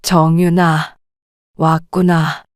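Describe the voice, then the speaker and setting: A woman voicing a gentle, worn-down mother in her sixties, speaking softly and with restraint in an audio drama. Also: deep voice, level tone, extremely slow, no room sound, clean studio sound. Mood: weary, gentle. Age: 60s.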